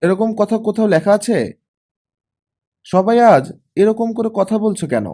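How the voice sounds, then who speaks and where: A man voicing a pouty, argumentative girl of about fourteen in an audiobook, indignant and quick to question back. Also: high voice, expressive, mellow, voice pushed up. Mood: indignant, hurt. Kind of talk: audiobook character acting.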